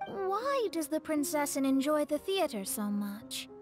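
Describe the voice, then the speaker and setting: A young, haughty princess from an anime-style game. The voice is high and swings expressively through pompous, formal lines. Music plays clearly behind it. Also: female voice, very animated, unhurried, a little mellow. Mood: questioning. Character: haughty theatrical young princess with grandiose speech.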